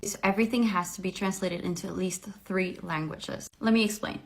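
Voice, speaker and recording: A woman calmly explaining things to camera, with emphatic repetition. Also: mid-range voice, brisk, low-quality recording. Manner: clear, methodical, emphatic. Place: Switzerland.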